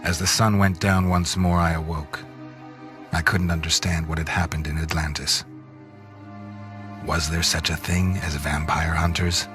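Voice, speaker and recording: An actor playing a grim half-vampire antihero who narrates a video game in a terse, deadpan, noir-like way. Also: male voice, a bass voice, measured pace, music in the background. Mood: brooding.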